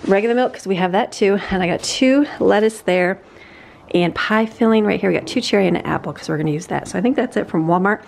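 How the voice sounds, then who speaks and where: A woman's voice: mid-range voice, quick pace, gravelly, a little hiss or hum. A woman in her forties chatting casually through a grocery haul on a handheld camera, laughing often and rambling. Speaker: family vlogger. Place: outdoors on a porch, earlier indoors at a kitchen counter.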